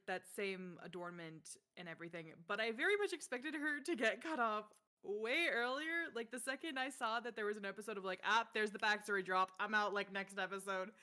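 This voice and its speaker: A woman with a bright, forceful voice rambles cheerfully through her answer. She talks casually, with plenty of "like"s scattered throughout. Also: medium pitch. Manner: chatty, rambling, full of "like". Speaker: voice actor guest. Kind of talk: casual live-stream Q&A.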